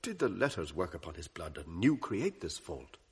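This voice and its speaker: A dignified envoy, formal and courtly in speech. His voice is very raspy and rises and falls with lively pitch. He keeps a noble bearing even when dismayed. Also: normal speed, heavily acted. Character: dignified, shocked male Venetian envoy. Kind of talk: 1980s radio drama acting.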